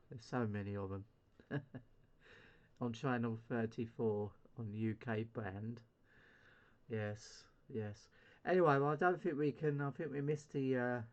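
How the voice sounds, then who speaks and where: A man's voice: medium pitch, highs cut off. A British man chatting casually as a CB radio hobbyist on his video channel, rambling hesitantly in a self-deprecating way. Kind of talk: casual hobby vlog chat.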